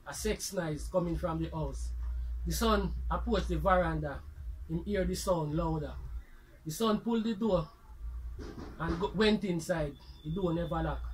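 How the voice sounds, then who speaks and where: A man's voice: high voice, poor audio. A man with a Jamaican accent hosting and telling comic stories in Patois-tinged speech. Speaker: storyteller host. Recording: faint background noise.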